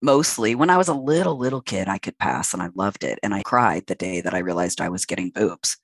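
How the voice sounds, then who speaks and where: A man's voice: low-pitched, fairly quick, a bit bright, no echo, clean studio sound. A transmasculine man in his late forties, a podcast guest speaking frankly and reflectively at length with fillers. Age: late 40s. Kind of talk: candid interview conversation.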